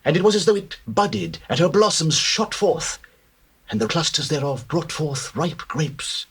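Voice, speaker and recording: An actor playing a troubled royal butler in a scripture drama, declaiming theatrically with drawn-out words. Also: male voice, on the high side, sing-song, breathy edge, full character voice. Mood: awed, intense.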